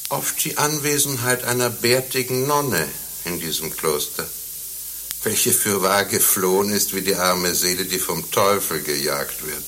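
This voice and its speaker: An actor playing a stern, officious inspector of the Inquisition in a radio play, speaking formally and pompously. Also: male voice, deep voice, fairly even intonation, a little slow, pushed and bright. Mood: grave, accusing. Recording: faint hum and hiss.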